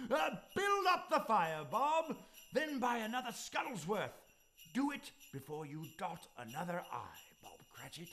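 A giddy old miser turned generous, his voice high, bright and ringing. He draws out his words in an unhurried way and swings his pitch all over, bubbling with delight. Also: very animated, slight background noise, exaggerated voice. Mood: teasing. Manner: exuberant, chuckling, sweeping pitch. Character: Scrooge, reformed old miser, gleeful and giddy. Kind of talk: stage radio play acting.

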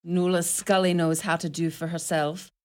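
An actress plays a tiny, sharp-tongued widow in her sixties for a radio drama. Her voice sits fairly low, with an Irish accent and a blunt, sardonic delivery. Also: level tone, normal speed, lightly acted. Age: 60s.

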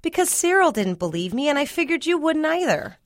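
A woman narrator plays a young rural girl in an audiobook story. Her voice sits high, anxious and guilty, hesitant yet defensive, in a dry, close recording. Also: sing-song, brisk, dry recording. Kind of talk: audiobook character dialogue.

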